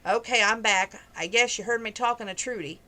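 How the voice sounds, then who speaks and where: A woman reading aloud, voicing a brisk older grandmother on a ranch in a storybook. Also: on the high side, pushed and bright.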